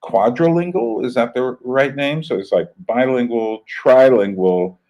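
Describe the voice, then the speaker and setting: A man's voice: medium pitch, unhurried, a little mellow, dull audio. A man from the United States talking casually on a live stream, rambling with many fillers, restarts and chuckles. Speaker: channel host.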